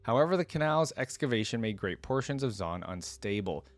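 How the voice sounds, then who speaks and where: A man's voice: mid-range voice, animated, brisk. A man reacting and reading stories aloud as a video creator, with informal, chatty asides between the passages. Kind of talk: casual reaction commentary and read-aloud.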